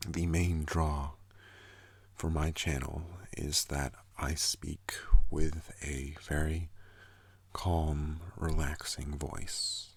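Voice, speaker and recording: A young man hosting an ASMR channel, speaking softly and calmly close to a studio microphone, slipping into whispers. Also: no room sound. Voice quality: deep gravelly soft voice. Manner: slow, calm, partly whispered. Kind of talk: soft-spoken ASMR talk.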